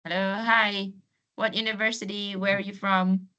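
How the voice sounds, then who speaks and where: A woman's voice: mid-range voice, flat delivery, normal speed, pushed and bright, smooth, lo-fi sound. A woman leading an online class as host and trainer, speaking informally and encouraging participants to join in. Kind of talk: informal webinar presenting to participants. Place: online video call.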